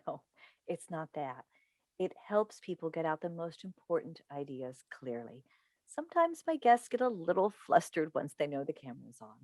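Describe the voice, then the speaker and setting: A woman's voice: low voice, sing-song, moderate pace, darkish tone, no echo. A woman in a staged play reading, playing a seasoned, composed talk show host and mother who tries to placate people. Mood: placating, professional. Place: indoors on a Zoom call. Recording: dry video call recording.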